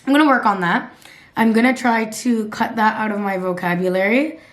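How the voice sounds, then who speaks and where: Raspy woman, twenties, blunt casual vlog chat, swears. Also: fairly high pitch, a little fast. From Canada. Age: 20s. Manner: blunt, chatty, swears often, laughs at herself. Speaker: lifestyle vlogger.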